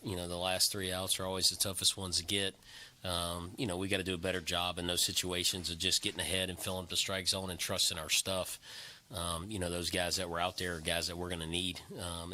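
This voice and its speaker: An American man, a head coach answering at a post-game press conference, halting with many ums and throat clears. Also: deep voice, bright, forceful tone.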